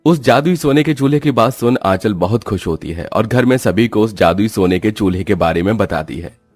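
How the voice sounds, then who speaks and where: Low-voiced male story narrator. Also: expressive, brisk, a little mellow, no echo, clean studio sound, faint music behind.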